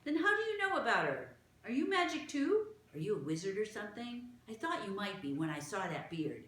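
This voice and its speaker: A woman voicing an eager, excitable young girl who talks high and quick. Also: a little hiss or hum. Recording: roomy echo.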